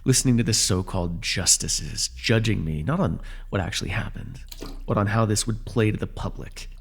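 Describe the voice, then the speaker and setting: Man acting a low, gravelly, sardonic ex-hero villain. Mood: bitter, hurt. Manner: sardonic, deliberate, addressing a captive. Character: bitter telepathic ex-hero turned villain, sardonic, coldly commanding, weary. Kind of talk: ASMR superhero roleplay acting.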